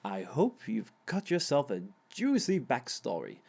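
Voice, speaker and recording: A man's voice: medium pitch, huge pitch swings, measured pace, gravelly, darkish tone, full character voice. A man voicing a sly, theatrical male villain who lectures on dating in an audio roleplay, with chuckling asides. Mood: smug. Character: smug male villain giving a dating seminar.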